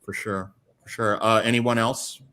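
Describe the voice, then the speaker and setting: A man's voice: lowish voice, little pitch movement, unhurried, bright, forceful tone, smooth. A man moderating a panel in a casual, affirming way, reading out audience questions. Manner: casual, affirming, repeats "for sure".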